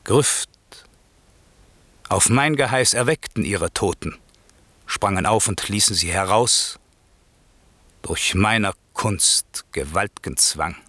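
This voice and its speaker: Stern sorcerer lord, low-pitched, slow measured delivery, solemn, declamatory, classical radio drama verse. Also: male voice, expressive, sharp tone, dry recording, heavily acted. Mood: solemn, commanding. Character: stern, wronged sorcerer lord turning to forgiveness.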